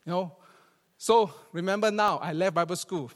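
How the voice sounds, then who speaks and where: A man's voice: high-pitched, normal speed. A man with a Singaporean accent preaching a church sermon as a pastor, emphatic and repeating phrases for effect. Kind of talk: church sermon with scripture reading and storytelling. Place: large church hall, preaching to a congregation. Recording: reverberant hall.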